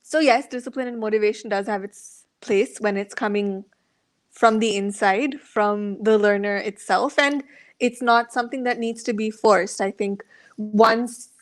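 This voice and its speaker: A woman's voice: mid-range voice, steady tone, measured pace. Reflective, articulate woman panel guest. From India. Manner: reflective and articulate, rhetorical questions, checks with "right?". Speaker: panel guest speaking as a learner. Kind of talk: thoughtful conversational answer. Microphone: online video call.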